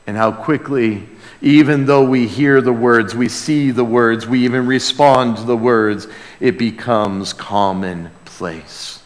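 A man preaching and praying to a congregation, earnest in manner, swelling from hushed to emphatic. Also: medium pitch, slow. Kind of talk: sermon and prayer to a congregation. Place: large church room or hall. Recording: reverberant hall sound.

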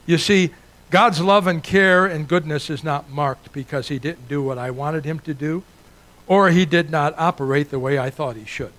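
A man's voice: fairly high pitch, normal speed, fairly bright tone. Older man preaching with earnest emphasis. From Italy. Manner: earnest, emphatic with repeated rising lists. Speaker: guest preacher. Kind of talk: sermon.

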